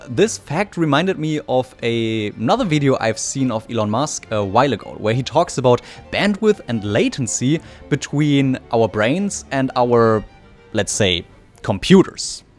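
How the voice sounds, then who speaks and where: A male presenter from Germany, whose native language is German, reads a scripted video essay. His pitch sits in the middle and moves up and down a lot. Soft music plays behind him. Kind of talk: scripted narration. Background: soft background music.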